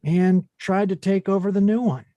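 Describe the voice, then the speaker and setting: A man playing an earnest, anxious, chivalrous small repairman from a video game, polite and excitable, in an animated film table read. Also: high-pitched, normal speed, no echo, dull audio, natural voice.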